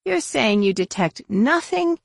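A woman voicing a sceptical, combative female warrior in a space-opera audiobook, challenging and sharp-tongued. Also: mid-range voice, big pitch swings, a little slow, vocal fry, husky, dry recording, clean studio sound, exaggerated voice. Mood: angry challenge.

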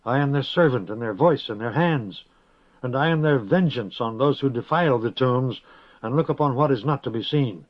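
A man's voice: fairly high pitch, animated, normal speed, hardly any pauses, no echo, voice pushed up, close to an everyday voice. A man voicing a proud, fierce young priestess who talks scornfully and defiantly, in a storybook reading. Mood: threatening. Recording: dull lo-fi recording.

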